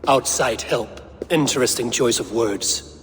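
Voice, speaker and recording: Authoritative, impatient ruler god for fantasy game cutscene, male actor. Medium pitch, echoing hall. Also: slight background noise, lightly acted. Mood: suspicious, dry. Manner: grave, measured, authoritative. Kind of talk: video game cutscene acting. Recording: reverberant hall with music behind.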